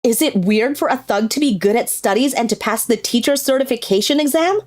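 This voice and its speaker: A woman's voice: a little high, a little fast, noisy background, natural voice. A woman voicing a young female teacher with a sweet front and a thuggish temper in a manga dub. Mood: gruff, mocking. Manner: switches between sugary and menacing.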